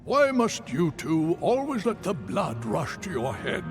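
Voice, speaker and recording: A stern spirit leader speaks slowly and formally with dry authority. His voice rises and falls widely over clear game music. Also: fairly high pitch, huge pitch swings, exaggerated voice. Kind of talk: video game voice acting.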